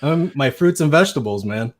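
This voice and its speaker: A man in his thirties co-hosting a podcast, laid-back and quick to laugh. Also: mid-range voice, animated. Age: 30s. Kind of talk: casual chat.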